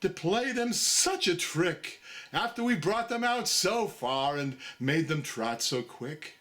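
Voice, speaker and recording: A man voicing a pompous, sentimental and slyly greedy storybook walrus with grandiose, drawn-out oratory. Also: fairly high pitch, animated, fairly bright tone. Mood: falsely sorrowful.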